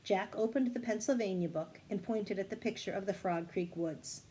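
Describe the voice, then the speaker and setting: A woman's voice: mid-range voice, normal speed, mellow, slight background noise. A woman reading a children's storybook aloud expressively, now and then correcting a stumble. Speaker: story reader.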